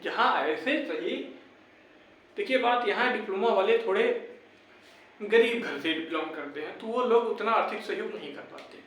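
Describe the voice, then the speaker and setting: A man's voice: sits high, a bit bright. A young man addresses his viewers like brothers. He is insistent and repeats his points as he advises and rallies them. The sound is heavily echoing and distant from the microphone. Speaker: YouTube exam-update presenter. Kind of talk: informal advice and rallying talk to viewers. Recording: very echoey room, distant microphone.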